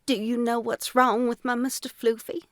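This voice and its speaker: A woman's voice: a little high, level tone, moderate pace, no room sound, studio quality, close to an everyday voice. A woman playing a prim, huffy older lady who dotes on her cat, in an audio drama. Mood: worried. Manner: prim, affronted. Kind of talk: audio drama character acting.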